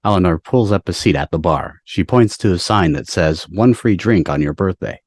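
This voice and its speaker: A man's voice: low voice, expressive, normal speed, darkish tone, dry recording. A man giving scripted narration of screenplay stage directions on a video call.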